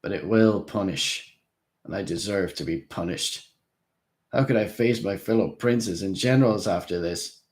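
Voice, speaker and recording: A man's voice: low voice, normal speed, a little mellow. A man voicing a drunken, despairing male vampire prince who rants and shrieks. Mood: bitter. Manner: shrieking, ranting.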